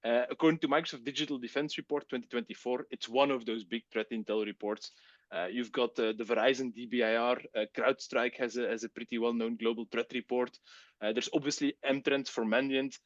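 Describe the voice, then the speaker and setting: A Belgian man whose first language is Dutch, with a Flemish accent, presenting a technical webcast in a relaxed, chatty way with many fillers. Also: mid-range voice, fairly quick, no room sound, dull audio.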